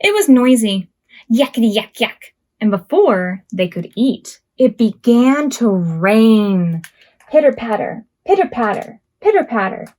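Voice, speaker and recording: A woman hosting a preschool storytime as a children's librarian, reading picture books aloud expressively with drawn-out words. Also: on the high side. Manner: expressive, drawn-out words and acted sound effects.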